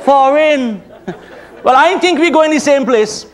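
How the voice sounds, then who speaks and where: A man's voice: extremely high pitch, measured pace, some chatter behind. A middle-aged man with a Trinidadian accent, playing a nervous, earnest first-time traveller in a comedy sketch on a theatre stage. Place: theatre stage with live audience. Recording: stage recording with audience laughter.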